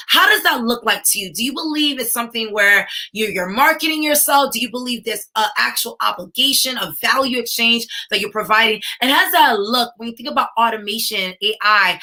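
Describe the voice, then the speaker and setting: A woman with a New York accent giving an energetic keynote to an online conference audience and urging viewers to comment in the chat. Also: fairly high pitch. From United States. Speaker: keynote speaker.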